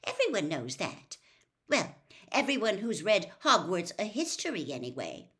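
A bossy, know-it-all teenage girl in a storybook speaks in a raised, precise, matter-of-fact voice, as a woman narrator puts her on. Her pitch leaps around. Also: big pitch swings, moderate pace, a bit bright.

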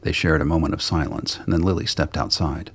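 A man with a gravelly voice reading scripted narration for a radio drama. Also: rumbling low, steady tone, brisk, a little hiss or hum.

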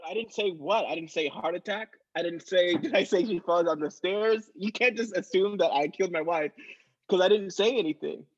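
A man's voice: sits high, normal speed, slightly rough, muffled recording. A man acting in improvised comedy, protesting in a hesitant, absurd way.

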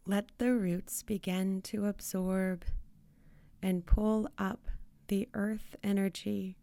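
Very slow, mellow woman guiding meditation. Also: medium pitch, flat delivery, creaky, no echo. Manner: soothing, spacious, with long pauses between short phrases. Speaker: meditation guide. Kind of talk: guided meditation.

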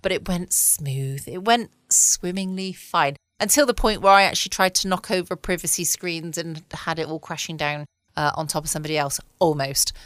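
A woman's voice: low voice, normal speed, dry recording. A woman from England, chatting casually as host of a late-night podcast from home. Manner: chatty and relaxed, with small laughs.

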